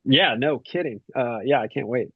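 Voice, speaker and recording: A man's voice: mid-range voice, moderate pace, slightly rough, no room sound, phone-quality sound. Man, middle-aged, podcast guest, rambling, filler-heavy, dry close sound. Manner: rambling, many fillers and restarts. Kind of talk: casual conversation.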